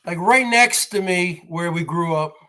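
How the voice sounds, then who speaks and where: A man's voice: high-pitched, measured pace, bright, forceful tone. An American man in his forties from New Jersey, ranting on a livestream in a rambling way and repeating his phrases insistently. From northern New Jersey, United States. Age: 40s. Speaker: livestream host.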